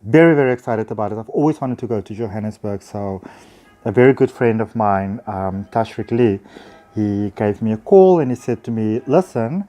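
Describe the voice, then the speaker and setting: A man's voice: on the low side, moderate pace, mellow, lo-fi sound. A man with a South African accent talks casually and effusively to viewers in an enthusiastic, chatty vlog, recorded on a handheld phone. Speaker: spiritual healer and teacher.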